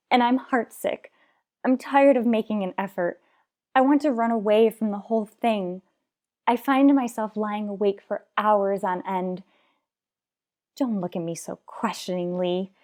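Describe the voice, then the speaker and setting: Actress playing an anguished wife and mother, mellow voice. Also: mid-range voice, natural voice. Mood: heartsick, weary. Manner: earnest, measured, dramatic pauses. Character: anguished, unhappily married mother in a soap opera. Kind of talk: radio soap opera acting.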